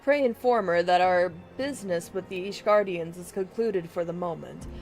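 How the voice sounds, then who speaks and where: A woman's voice: fairly low pitch, normal speed, a little mellow, natural voice. A woman voicing a grave, formal scholar from a fantasy game, reading archaic dialogue aloud, with music behind her. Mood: businesslike.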